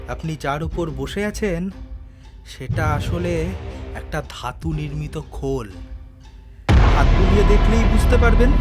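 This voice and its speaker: A hot-headed sailor in a radio play, high voice, really animated, music underneath. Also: male voice. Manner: emphatic, animated delivery. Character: gruff, hot-headed harpooner, boisterous and bold. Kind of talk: Bengali audio drama acting.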